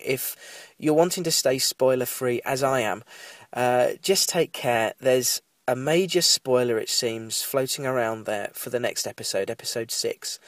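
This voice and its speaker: A man in his thirties talks as a solo podcast host reviewing television. His mid-pitched voice is stuffed up and nasal from a cold. He speaks casually and tends to wander, in a close, dry recording. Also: dry recording. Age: 30s. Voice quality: nasal, congested. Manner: rambling, digressive, apologetic asides. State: has a cold. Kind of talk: casual TV recap podcast monologue.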